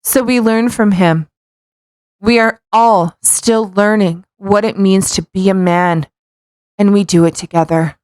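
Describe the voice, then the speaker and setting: A woman with a medium-pitched voice, recorded dry and close. She co-hosts a podcast, reading aloud in a mocking, playful way and often tripping over her words. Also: fairly even intonation, measured pace, a little mellow, clear, resonant tone, no room sound. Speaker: podcast co-host. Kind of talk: mocking read-aloud with casual banter.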